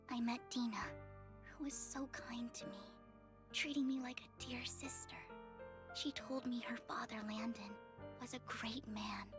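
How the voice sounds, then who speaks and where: Actress as a soft-spoken, sorrowful young woman. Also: fairly high pitch, natural voice. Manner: soft, earnest, formal address. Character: gentle, sorrowful, polite young woman shunned as a bringer of misfortune. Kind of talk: video game voice acting.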